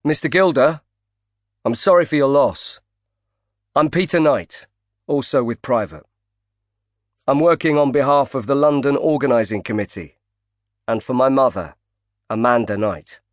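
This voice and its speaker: A man voicing a determined male private investigator who speaks in a cool, measured way. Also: on the low side, no room sound, natural voice. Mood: earnest.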